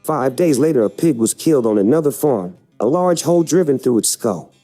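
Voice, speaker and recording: A man delivers scripted documentary narration in a mellow voice of middle pitch. He is measured and serious, with quiet music playing behind him. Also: expressive.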